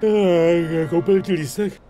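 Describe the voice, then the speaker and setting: An actor playing a brash, foul-mouthed gangster sidekick in a dubbed video game, crude and sarcastic. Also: male voice, sits high, fairly even intonation, mellow, soft background music, close to an everyday voice. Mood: mocking.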